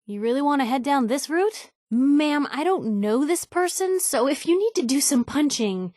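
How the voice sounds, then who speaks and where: A woman's voice: high voice, animated, moderate pace, darkish tone, dry recording, clean studio sound. An actress playing a wry, plucky young woman reporter, an outspoken and loyal video game companion with a sardonic, conversational delivery. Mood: pleading, urging.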